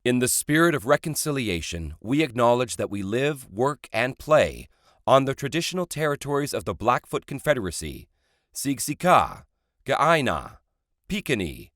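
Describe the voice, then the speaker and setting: Male announcer for a podcast intro, formal read with lively pitch, dry studio sound. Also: normal speed, no room sound. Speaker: show announcer. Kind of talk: scripted announcement.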